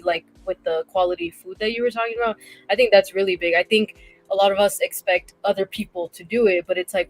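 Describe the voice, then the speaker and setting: A woman's voice: fairly low pitch, low-quality recording. A woman co-hosting a podcast, talking casually and personally and often checking for agreement. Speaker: podcast co-host. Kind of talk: casual discussion, personal sharing.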